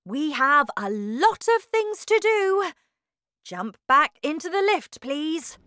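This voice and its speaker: A woman voicing an eccentric, excitable male factory owner in a children's storybook, exclaiming with showy delight. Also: a little high, slow, no room sound, voice pushed up.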